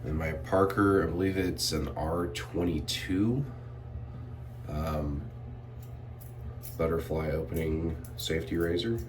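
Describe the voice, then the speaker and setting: A man reviewing shave soap in a relaxed, rambling way, a bit sniffly and clearing his throat often. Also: very deep voice, takes it slowly, long pauses, far from the mic. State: sniffly from irritated sinuses. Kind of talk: casual product review vlog.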